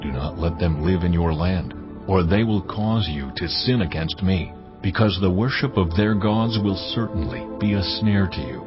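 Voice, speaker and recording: A solemn, deep-voiced commanding God. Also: male voice, animated. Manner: solemn, measured, authoritative. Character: God of Israel, male, solemn commanding deity. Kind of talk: dramatized scripture reading. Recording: dull studio sound over clear music. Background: music.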